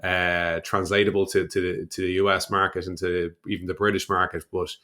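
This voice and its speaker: A man with an Irish accent hosting a casual podcast interview, speaking in a hesitant, halting way as he works through his thoughts. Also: deep voice, flat delivery, bright, forceful tone. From Ireland.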